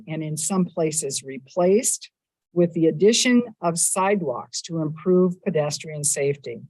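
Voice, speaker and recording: A woman delivering a prepared civic speech at a council meeting, measured and upbeat, with a loud voice, speaking into a microphone in a council chamber. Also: on the low side, no room sound, low-quality recording. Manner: measured, upbeat, stresses lists of items. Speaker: mayor giving a state of the city address.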